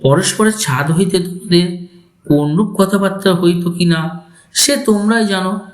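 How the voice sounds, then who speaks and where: A man's voice: high-pitched, unhurried. An actor playing a bitter, vengeful older father who tells his tale with sardonic deliberation in a dramatic audio story. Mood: sly storytelling. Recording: echoey voice over background music.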